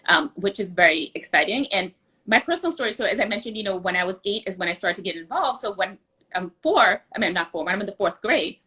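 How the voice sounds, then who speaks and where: A woman guest answers interview questions at a quick clip. Her voice is bright with a husky edge, and she explains things earnestly and conversationally. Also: mid-range voice, expressive. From born outside the US. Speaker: interview guest, political candidate.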